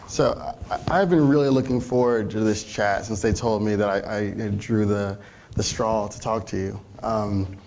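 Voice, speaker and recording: Low gravelly man hosting a chat. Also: fairly even intonation, unhurried, background noise. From Philadelphia area. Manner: chatty, rambling with restarts. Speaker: moderator of a fireside chat. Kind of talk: casual onstage interviewing. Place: event venue before a live audience.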